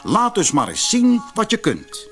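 A man's voice: sits high, wildly swooping pitch, unhurried, fairly bright tone, soft background music, voice pushed up, full character voice. A man voicing a vain, powerful sultan with an imperious manner in a storybook tale. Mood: haughty. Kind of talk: storybook character voice.

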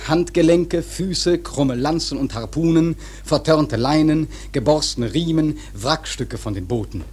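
An actor playing an earnest sailor who narrates a sea tale in a radio drama, husky and intense. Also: male voice, a little high, heavily acted. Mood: grave.

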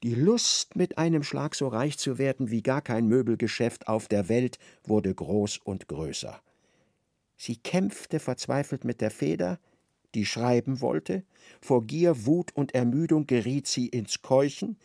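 A man's voice: medium pitch, animated, measured pace, gravelly, darkish tone, no echo, clean studio sound. A man narrating a literary radio drama. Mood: measured.

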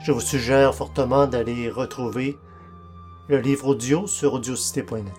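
A man's voice: mid-range voice, fairly even intonation, moderate pace, a little mellow, soft background music. A man presenting a podcast and introducing its segments. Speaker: podcast host. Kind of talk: show presentation.

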